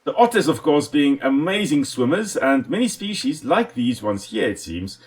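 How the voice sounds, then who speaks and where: Lively South African man narrating gameplay. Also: medium pitch, moderate pace. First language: Afrikaans. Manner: enthusiastic, chatty, talks to the animals on screen. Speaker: let's play host and ecologist. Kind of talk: casual gameplay commentary.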